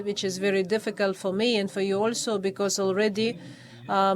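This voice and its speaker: A man reads out his formal review at a doctoral defense. He speaks hesitantly in English, with frequent pauses and false starts. His pitch stays flat and level throughout. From Poland. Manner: halting, many hesitations and restarts. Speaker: official reviewer at a thesis defense.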